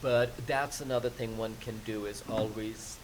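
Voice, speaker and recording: Man, first language persian, lowish voice, measured explanations, podcast guest, steady hiss in the recording. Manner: measured, explanatory, with frequent ums. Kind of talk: conversational interview.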